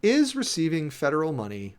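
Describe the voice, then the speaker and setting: A man's voice: fairly high pitch, huge pitch swings, brisk. A man hosting a livestream, reading a formal document aloud and commenting off the cuff in an informal, emphatic way. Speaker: livestream host.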